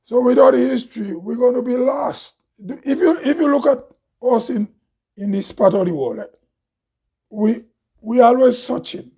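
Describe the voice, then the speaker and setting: A man with a Grenadian accent talks in a loud, heavy voice that sits fairly high and sounds husky. He preaches with rhetorical questions and frequent laughs. The recording is dull and lo-fi. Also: expressive, normal speed. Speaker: host. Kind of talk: impassioned monologue.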